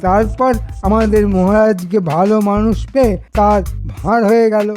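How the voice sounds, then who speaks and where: A man's voice: sits high, fairly even intonation, normal speed, a little mellow, faint music behind, lightly acted. A man voicing a sly, scheming royal minister in a cartoon, persuasive and insinuating. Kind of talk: Bengali cartoon dialogue.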